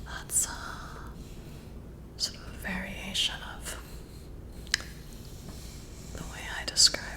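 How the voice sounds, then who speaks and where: A woman whispering up close in an ASMR roleplay as a flirty, intrigued boss, breathy and intimate with drawn-out pauses. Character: flirty, intrigued female boss giving a job interview. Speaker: ASMR performer role-playing a flirty new boss. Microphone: close-up ASMR microphone.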